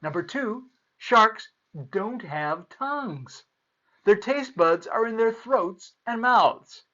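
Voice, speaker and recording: A man's voice: high-pitched, lively intonation, takes it slowly, bright, forceful tone, highs cut off. A man presenting and reading aloud for children as a storyteller, playful and theatrical.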